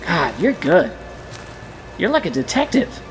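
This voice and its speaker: A man's voice: a little high, wildly swooping pitch, a little slow, faint music behind, rough recording. A male actor playing an eager, earnest, wide-eyed orphan boy in an audio drama, with background ambience. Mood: curious.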